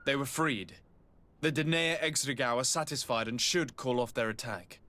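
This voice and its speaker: Male actor as earnest game nobleman, grave, measured, formal diction, medium pitch. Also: pushed and bright, no room sound, natural voice. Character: grave, principled nobleman reporting atrocities.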